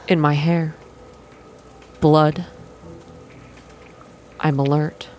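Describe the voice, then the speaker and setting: A woman reading a visual novel game aloud in an intimate ASMR style, soft and expressive as she hosts her playthrough. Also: on the low side, a little hiss or hum. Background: game music.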